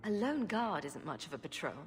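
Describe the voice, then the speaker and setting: A woman's voice: on the low side, big pitch swings, a little fast, faint music behind. A woman playing a wry, confident adventurer in a video game, sardonic and self-assured. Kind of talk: video game cutscene acting.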